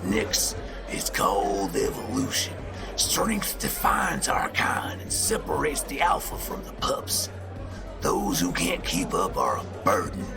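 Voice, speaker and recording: A menacing shifter pack leader, played by a man, who gloats and mocks in a low, gravelly growl. He takes his time, lets his pitch swing wide, and slips into hissed whispers. Music plays behind him in this audio drama. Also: heavily acted. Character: menacing male shifter pack alpha, scornful.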